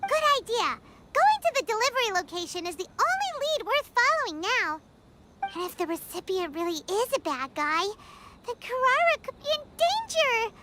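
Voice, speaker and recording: Squeaky, extremely high tiny fairy. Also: female voice, sing-song, normal speed, very noisy. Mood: eager. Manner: squeaky, eager, exclamatory. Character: tiny childlike fairy companion, excitable and eager. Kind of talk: anime game voice acting.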